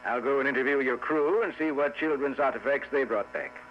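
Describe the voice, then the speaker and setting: Man playing courteous immigration inspector, formal, mid-pitched, muffled old radio recording. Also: a little hiss or hum, lightly acted. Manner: formal, measured. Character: polite, formal immigration inspector, mild and officious. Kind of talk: 1950s radio drama acting.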